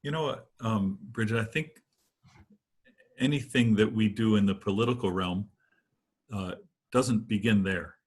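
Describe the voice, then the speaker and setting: A man's voice: fairly low pitch, measured pace, long pauses, a little mellow, slightly rough. A man in his sixties, a priest, speaking thoughtfully on a video call from his home. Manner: thoughtful, reflective. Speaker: priest guest. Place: home room. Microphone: video call webcam.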